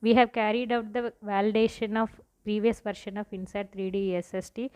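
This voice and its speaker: A woman with an Indian accent giving an academic research presentation in a halting, explanatory way, often repeating herself. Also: mid-range voice, level tone, darkish tone, clear, resonant tone. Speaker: research scientist presenting results.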